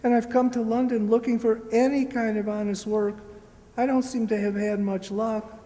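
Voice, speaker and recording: A woman's voice: fairly high pitch, steady tone, normal speed, mellow, natural voice. A woman playing a polite, earnest poor boy in an amateur radio play, hesitant and deferential. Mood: earnest, humble. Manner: deferential, hesitant, eager to please. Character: polite, earnest, humble poor boy seeking work in London. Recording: echoey hall, long reverb.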